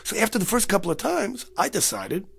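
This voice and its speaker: High-pitched, gravelly, haunted man. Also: big pitch swings, fairly quick, heavily acted. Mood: haunted, intent. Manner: urgent, pleading. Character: agitated, insistent man haunted by a recurring dream.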